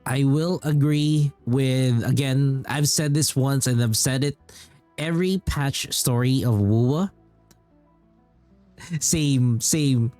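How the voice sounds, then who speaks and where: A man streams casually about games with music playing behind him. His talk rambles along in an informal way, and he often repeats a phrase to drive it home. His voice sits at an ordinary mid-range pitch for a man. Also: takes it slowly, dark tone. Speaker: game streamer.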